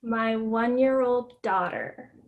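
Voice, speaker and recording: Woman, high-pitched voice, children's author, read-aloud and interview. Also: slow pace, narrow, tinny audio. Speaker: author, guest.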